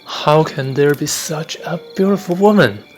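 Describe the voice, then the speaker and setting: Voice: mid-range voice, animated, normal speed, loud music behind the voice, close to an everyday voice. A performer playing a fervent, love-struck young man in an amateur radio drama, gushing and melodramatic. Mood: smitten.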